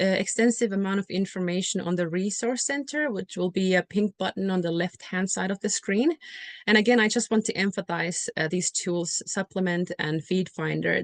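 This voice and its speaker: A woman's voice: lowish voice, no room sound. A woman from Finland, presenting in a webinar in a friendly, reassuring way with frequent fillers. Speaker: software company presenter.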